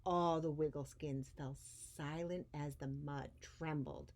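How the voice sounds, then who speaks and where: A woman's voice: sits low, steady tone, takes it slowly, a little mellow, a little hiss or hum. A woman hosting a story time for young children, speaking expressively as she shares a picture book.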